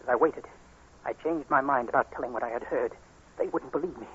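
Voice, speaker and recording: A man's voice: mid-range voice, brisk, a bit bright, husky. An actor playing an anxious, haunted blind man in a tense, dramatic 1940s radio suspense play, also narrating in first person. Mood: rising terror. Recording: dull, noisy 1940s radio recording.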